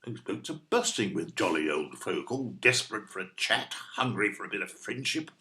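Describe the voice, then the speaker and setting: An actor playing a blunt, stubborn older British husband in an audio play, speaking in a dry, matter-of-fact way. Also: male voice, lowish voice, sing-song, moderate pace, bright, forceful tone. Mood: grumpy, teasing. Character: blunt, grumpy older husband wanting his freedom.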